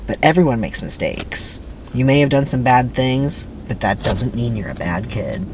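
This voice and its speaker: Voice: mid-range voice, animated, moderate pace, background noise, lightly acted. A person voicing a falsely friendly adult male camp counselor in a dramatic reading, preachy and emphatic. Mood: earnest, encouraging.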